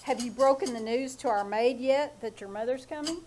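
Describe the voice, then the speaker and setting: A woman's voice: on the high side, fairly quick, a little mellow, slight background noise, lightly acted. A woman playing a wry, sarcastic husband in a live reenactment of an old-time radio comedy, delivering dry quips. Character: wry husband Ace, a dry-witted man. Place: small theater stage.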